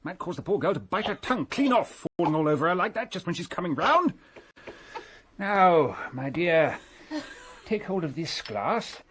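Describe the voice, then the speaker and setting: A man's voice: sits high, very animated, moderate pace, fairly bright tone, husky, heavily acted. A man playing a brusque, no-nonsense English country doctor in a radio mystery, giving blunt commands. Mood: gruff. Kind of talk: 1920s country-house radio mystery acting.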